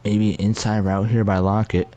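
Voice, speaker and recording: A young man adding relaxed color commentary to a casual video game football broadcast. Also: fairly low pitch, normal speed.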